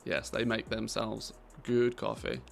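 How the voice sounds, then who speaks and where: A man's voice: fairly low pitch, little pitch movement, measured pace, creaky, soft background music. A British man chatting casually on a live game stream, reading out and answering viewers' questions with easy laughter. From Britain.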